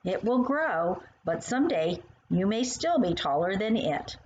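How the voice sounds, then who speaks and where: A woman voicing a kindly grown woman in a storybook who gently explains and reassures as she talks to a child. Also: mid-range voice, very animated, measured pace. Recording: dull lo-fi sound with faint noise.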